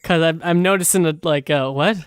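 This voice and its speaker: A man's voice: fairly high pitch, a little fast, noisy background. A man hosting a casual podcast chat, giving short reactions and questions as a listener. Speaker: podcast host.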